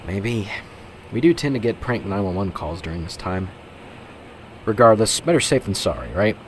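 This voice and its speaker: Noticeable ambient noise sits behind a fairly low male voice. A man acts a young, dutiful police officer in an amateur radio play. His delivery is earnest, measured and conscientious. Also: big pitch swings. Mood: cautious, dutiful. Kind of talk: amateur radio drama acting. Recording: clearly noisy, vehicle-like background ambience.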